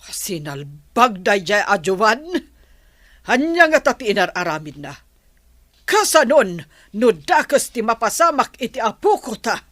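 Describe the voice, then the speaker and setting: A woman's voice: mid-range voice, very animated, unhurried, fairly bright tone, no room sound, studio quality. A woman acting in an audio drama as a worried, concerned grandmother-to-be. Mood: worried, gossiping.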